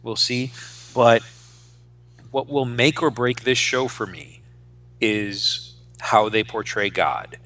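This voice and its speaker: A man's voice: medium pitch, slow, long pauses, bright, forceful tone. A man in his forties co-hosting a podcast, chatting casually and emphatically about pop culture, often repeating phrases as he goes. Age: 40s. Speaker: podcast co-host.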